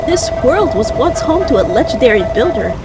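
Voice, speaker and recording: A woman's voice: a little high, big pitch swings, normal speed, heavy background noise. A woman voicing a kindly old spirit guide who stutters and repeats words with grandiose cheer in a video game. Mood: grandly pleased.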